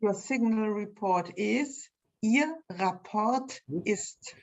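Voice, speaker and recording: A woman's voice: mid-range voice, slow pace. A woman with a German accent reading out terms for language learners on a muffled online call. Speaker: language teacher.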